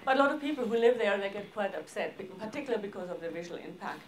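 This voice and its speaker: Woman giving a slide talk, informal, lots of hesitating and broken sentences, medium pitch. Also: animated, rapid-fire, slight background noise. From Austria. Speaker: arts organisation director presenting projects. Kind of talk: seminar presentation with slides.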